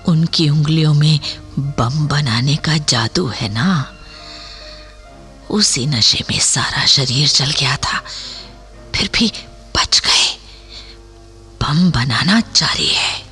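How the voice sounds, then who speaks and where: A woman acting an impoverished, motherly widow in a Hindi radio play, long-suffering, with halting, plaintive phrasing. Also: sits low, lively intonation, pushed and bright, faint music behind, heavily acted. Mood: secretive.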